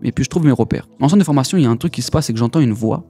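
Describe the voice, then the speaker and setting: A man's voice: mid-range voice, racing, warm tone, soft background music. A young man in his twenties from the Paris region, giving a casual personal testimony in a slangy way, often repeating his words. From Paris region, France. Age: 20s.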